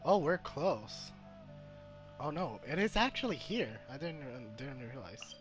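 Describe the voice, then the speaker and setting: A man's voice: a little high, sing-song, unhurried. A man giving casual gameplay commentary as a streamer. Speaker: gaming streamer. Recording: loud game music over voice.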